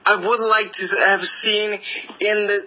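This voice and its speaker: A man's voice: high-pitched, little pitch movement, unhurried, slight background noise. A man leaving an eager, gushing fan voicemail, repeating himself as he praises things. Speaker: voicemail caller. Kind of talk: enthusiastic fan review. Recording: dull lo-fi voicemail audio.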